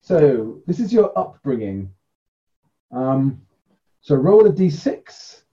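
A man's voice: mid-range voice, big pitch swings, slow pace, dark tone, muffled recording. A man casually running a tabletop role-playing game and explaining the rules as game master.

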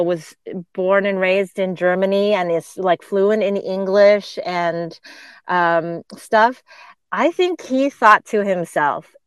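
Slow, smooth-voiced woman podcast host. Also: medium pitch, dry recording. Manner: chatty, laughs often, explains pronunciation with fillers. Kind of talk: casual podcast chat.

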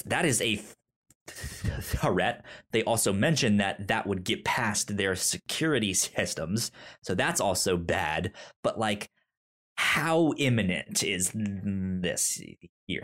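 A man's voice: fairly low pitch, fairly bright tone. A man co-hosting a casual film podcast over a remote call, stammering and restarting his sentences often. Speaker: podcast co-host.

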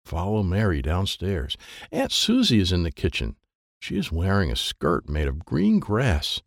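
A man's voice: sits low, huge pitch swings, measured pace, no room sound, clean studio sound. A man reading a children's audiobook in short, simple, childlike sentences. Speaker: audiobook narrator.

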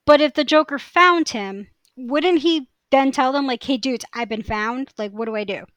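Higher-voiced woman bantering on a podcast. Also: normal speed, a bit bright, no echo. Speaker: podcast co-host. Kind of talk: casual chat, banter.

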